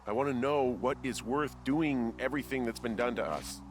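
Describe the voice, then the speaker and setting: An actor playing a troubled, stubborn fire lookout, earnest and plain-spoken, in a naturalistic video game style. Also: male voice, mid-range voice, normal speed, a little hiss or hum, natural voice. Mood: agitated, insistent.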